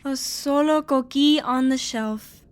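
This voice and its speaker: A woman's voice: high voice, slow pace. An actress playing a cheerful, eager young girl in an audio drama. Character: cheerful young girl Alexa who knits.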